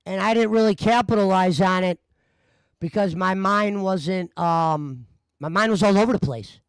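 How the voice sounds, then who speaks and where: A man's voice: high voice, normal speed, smooth, no echo, low-quality recording. A man in his forties hosting a casual late-night chat over a video call, telling loose, laid-back stories. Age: 40s.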